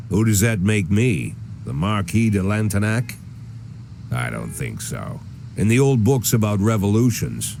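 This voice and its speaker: An aging, cynical American cop narrates a noir video game. His voice is deep, dark and relaxed, with dry, sardonic weariness. Some noise sits behind him. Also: gravelly, full character voice. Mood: wry, musing. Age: older. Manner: world-weary, dry, sardonic. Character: weary, cynical aging police chief turned corrupt.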